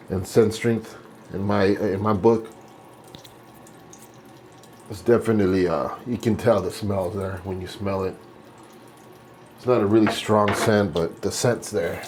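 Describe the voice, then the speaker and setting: A man's voice: lowish voice, a little mellow, slight background noise. A man in his late forties chatting casually while shaving, rambling and correcting himself as he goes. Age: 40s. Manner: rambling, self-correcting, laid-back. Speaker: vlogger.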